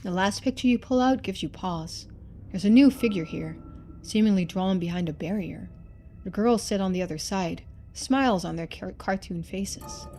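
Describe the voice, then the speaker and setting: A woman's voice: medium pitch, normal speed, warm tone, a little hiss or hum. A woman streamer giving casual playthrough commentary, thinking aloud and reading game text out.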